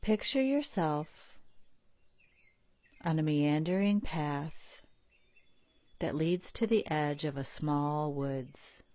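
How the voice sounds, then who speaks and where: A woman leading a guided relaxation in a calm, soothing, unhurried manner with long pauses. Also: low-pitched, no echo. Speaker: guided meditation leader.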